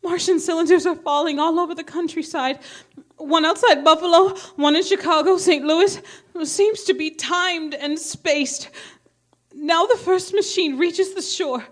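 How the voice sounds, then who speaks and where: A woman playing a grave, frightened radio reporter giving an eyewitness bulletin in an invasion drama, halting and dramatic. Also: very high voice. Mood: tense dread. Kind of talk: radio play news bulletin acting.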